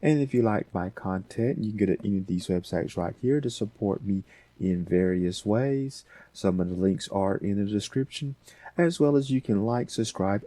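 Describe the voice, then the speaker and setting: A man hosting a casual software tutorial, thinking aloud in an unscripted way. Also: lowish voice, dry recording. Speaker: tutorial host.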